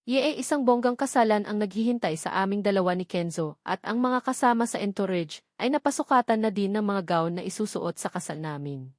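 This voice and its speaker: A woman reads a first-person novel as a scripted narration. Her voice sits at a middling female pitch. The sound is dry, with very little room in it. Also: a little fast, darkish tone, no echo. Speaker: audiobook narrator.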